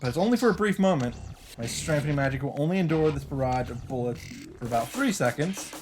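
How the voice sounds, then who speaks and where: A man's voice: medium pitch, lively intonation, fast talker. A man hosting a let's play, reading a visual novel's first-person narration aloud with occasional asides of his own. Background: game music.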